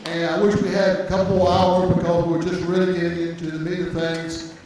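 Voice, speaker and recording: A man moderates a symposium and wraps up in a folksy, chatty way, with plenty of "uh" pauses. His voice is somewhat high. He is heard from far off in a heavily echoing hall with clear background noise. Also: flat delivery. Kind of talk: closing remarks at a symposium. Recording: echoey hall, distant mic, clear noise.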